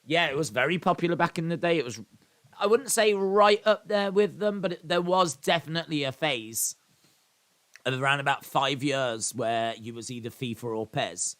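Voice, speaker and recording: A man's voice: on the high side, normal speed, a bit bright. A man in his thirties from England, hosting a casual live watch-along stream, chatting, rambling and reading out viewer comments with self-deprecating asides.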